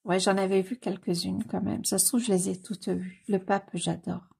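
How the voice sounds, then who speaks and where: A woman with a cold talks slowly and casually, her voice very husky with a gravelly edge and a dark, mellow tone. She gushes over what she sees, repeats her delight, and often hesitates on fillers. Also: mid-range voice, level tone. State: has a cold. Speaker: coloring book YouTuber. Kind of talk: casual commentary while leafing through a book.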